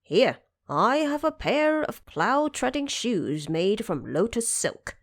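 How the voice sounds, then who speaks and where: A woman's voice: fairly high pitch, very animated, slow pace, voice pushed up, full character voice. A woman voicing an obliging male dragon king in an audio drama. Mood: agreeing.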